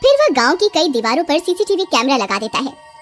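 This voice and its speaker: High-pitched woman storyteller over music. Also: big pitch swings, fairly quick. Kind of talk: scripted story narration.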